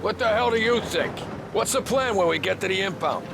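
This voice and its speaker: A man's voice: sits high, animated, fairly quick, creaky, noisy background. An actor playing an irritated, anxious male gangster in a crime video game cutscene, testy and questioning.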